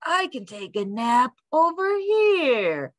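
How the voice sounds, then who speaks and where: Woman as a slangy, animated storybook dog dude. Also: sits high, takes it slowly, dry recording, clean studio sound, voice pushed up, exaggerated voice. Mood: sleepy, reluctant. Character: laid-back, nap-loving young male dog, slangy dude. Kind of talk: children's storybook character voice.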